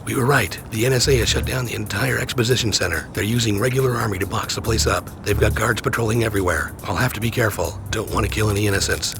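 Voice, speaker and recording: An actor playing a determined, terse and serious agent on the run in a video game cutscene. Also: male voice, lowish voice, quick pace, gravelly, hardly any pauses. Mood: focused. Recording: music behind the voice.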